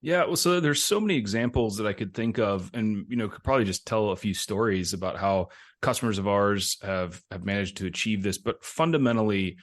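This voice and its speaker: A man's voice: fairly low pitch, a little fast. A middle-aged man, a tech executive and interview guest, explaining things conversationally.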